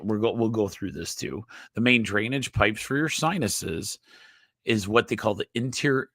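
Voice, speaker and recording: A nasal, somewhat low-pitched man explaining health topics to a live online audience. He speaks haltingly, restarting his phrases and tagging lines with quick check-ins. The sound is close and dry, with no room echo. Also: a bit bright. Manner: halting, frequent restarts, checks in with "okay?" and "right?". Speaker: chiropractor host.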